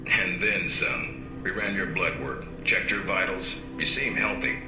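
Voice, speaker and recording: A man playing a stern spy agency superior in a video game, speaking with brisk, sardonic authority. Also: low voice. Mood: businesslike, needling. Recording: roomy, loud music underneath.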